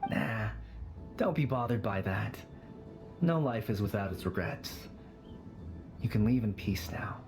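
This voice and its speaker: A man's voice: lowish voice, lively intonation, measured pace, long pauses. A man playing a sentimental, earnest townsman in a video game, speaking wistfully. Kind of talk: video game character dialogue. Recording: background music under the dialogue.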